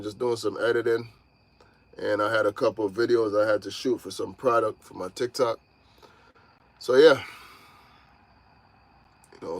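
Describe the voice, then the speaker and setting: A man's voice: mid-range voice, no echo. Laid-back male trucker in his thirties, casual livestream chat from his truck cab. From Jamaica. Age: 30s. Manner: laid-back, talks straight to viewers, calls them y'all. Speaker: truck driver vlogger.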